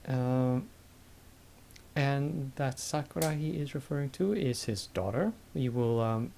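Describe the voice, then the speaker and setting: A man's voice: medium pitch, takes it slowly, creaky, a little hiss or hum. A man giving casual, hesitant commentary over a video game. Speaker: let's play commentator. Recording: loud game music behind.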